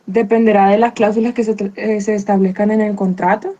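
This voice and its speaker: Female law student, unsure answers, monotone delivery. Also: mid-range voice, fairly quick, highs cut off. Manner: hesitant, restarts answers.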